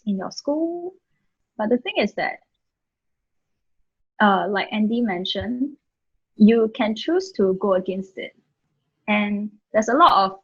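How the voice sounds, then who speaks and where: Singaporean girl in her 20s on a panel, kinda husky, dull lo-fi sound, laughs a lot and says what she thinks. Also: medium pitch. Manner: frank and laughing, sure of her views. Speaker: panel guest. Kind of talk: casual panel discussion.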